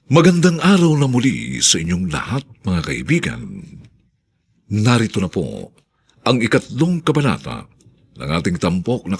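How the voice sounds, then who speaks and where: A man announces a radio drama with solemn theatricality. His husky voice swings widely in pitch, and he takes his time, stretching key phrases for dramatic weight. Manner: dramatic, drawn-out delivery of title phrases.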